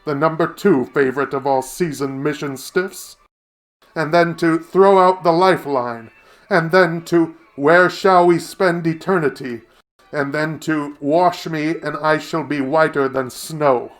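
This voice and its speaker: A man playing a wry, literate storyteller, narrating a radio play in ornate, deliberate phrases. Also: fairly high pitch, close to an everyday voice. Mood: wry amusement. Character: wry, literate storyteller recalling old Baltimore nightlife.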